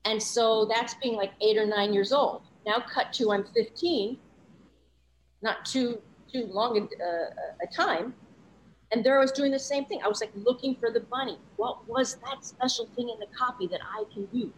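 A woman's voice: a little high, moderate pace, slight background noise, poor audio. Earnest woman guest reflecting candidly. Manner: earnest, reflective, many "you know" asides. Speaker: voice-over coach and guest. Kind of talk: candid interview talk.

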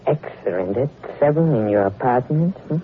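A man's voice: medium pitch, expressive, moderate pace, lightly acted. A man playing a sly, courtly little blackmailer from the Levant in 1940s radio drama, speaking with oily, mannered politeness. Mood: smugly sly. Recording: old muffled radio recording, faint hiss.

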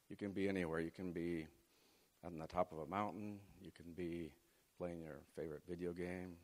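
A middle-aged man raised in Chile giving a folksy, faith-based talk to a student audience. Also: low-pitched. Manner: folksy, leads the audience through an exercise. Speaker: guest speaker. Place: large hall. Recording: long hall reverb.

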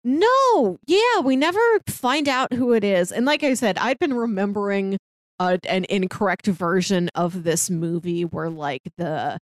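A woman's voice: mid-range voice, lively intonation, a little slow, dry recording, clean studio sound. A woman co-hosting a casual film discussion, explaining plot points in an earnest, conversational way. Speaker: podcast co-host.

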